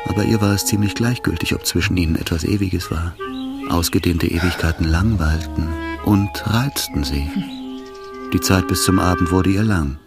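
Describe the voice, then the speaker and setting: An actor as the composed, grave narrator of a literary radio drama, telling the story in scripted prose. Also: male voice, deep voice, moderate pace. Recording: loud music under the voice.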